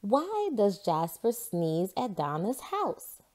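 A woman's voice: medium pitch, huge pitch swings, takes it slowly, a little mellow. A woman voicing a confident, curious girl scientist who explains and questions in a children's storybook. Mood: thoughtful. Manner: assured, inquisitive, explaining.

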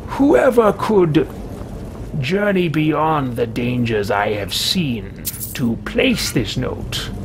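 A man's voice: mid-range voice, noisy background. A man voicing a pompous, haughty sorcerer who calls himself king, theatrical and archaic in a video game roleplay. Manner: pompous, theatrical, archaic wording, slow and deliberate. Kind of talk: in-character roleplay video game let's play. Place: small home room with shelves, indoors.

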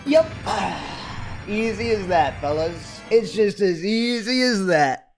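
A man in his thirties, from India, talks casually to his livestream chat from a small room at home. His voice sits a little high, and he jokes, teases and breaks into sudden loud exclamations. Faint game music runs underneath. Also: animated, slow pace, creaky. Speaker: streamer.

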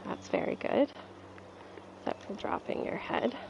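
A woman with a stuffy voice from a cold, calmly explaining her training as she rides in an outdoor arena. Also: unhurried, noisy background. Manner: calm, coaching, talks while riding. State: stuffy, getting over a cold. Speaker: dressage rider and trainer. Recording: dull lo-fi outdoor sound. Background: faint outdoor ambience and horse.

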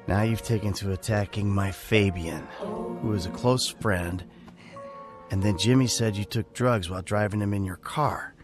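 An actor playing a weary, sardonic middle-aged American criminal in a video game, with a dry way of talking. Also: male voice, lowish voice, natural voice. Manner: dry, sarcastic. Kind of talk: video game cutscene acting.

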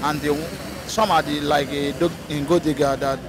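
A man's voice: a little high, fairly even intonation, moderate pace. Young Nigerian man groping for words. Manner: hesitant, searching for words. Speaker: passerby interviewee. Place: busy city roadside outdoors. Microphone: handheld mic held by interviewer. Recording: outdoor street with traffic noise.

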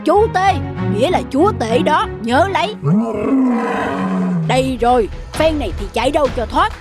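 A loud, mocking forest animal from a cartoon fable. The voice is high and very animated, full of theatrical exclamation. Music plays underneath. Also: female voice, normal speed. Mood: menacing.

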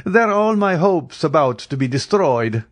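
A man's voice: mid-range voice, sing-song, unhurried, voice pushed up. A man voicing a proud, mocking, coldly menacing aristocratic count in an audiobook, in a haughty, formal, declamatory manner.

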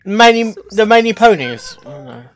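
A man hosting a reaction, blurting out short, excitable off-the-cuff remarks and exclamations. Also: high voice, moderate pace. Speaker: reaction video host.

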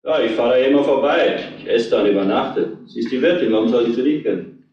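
An actor playing a hot-tempered, defensive truck driver under suspicion in a retro crime radio play. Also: male voice, medium pitch, quick pace, highs cut off, close to an everyday voice. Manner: defensive, surly, argumentative. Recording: roomy, echoing studio sound.

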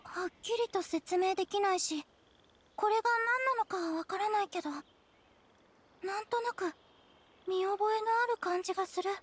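A woman playing a gentle, wistful little girl in an anime fantasy game, with a soft childlike voice and earnest, hesitant delivery. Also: extremely high pitch, normal speed, long pauses. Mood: wondering. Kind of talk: Japanese anime game voice acting.

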